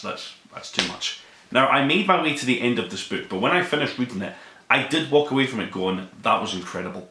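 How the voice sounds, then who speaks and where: A man hosting a solo podcast book review, speaking conversationally in an earnest, emphatic way with dramatic pauses. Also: fairly low pitch, bright, forceful tone. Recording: roomy, echoing room sound.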